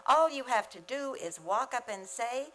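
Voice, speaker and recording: A woman playing a lonely, quick-witted schoolteacher with dry, wisecracking delivery in an old-time radio comedy. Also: fairly high pitch, animated, a bit bright, slight background noise. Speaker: actor in a community radio play.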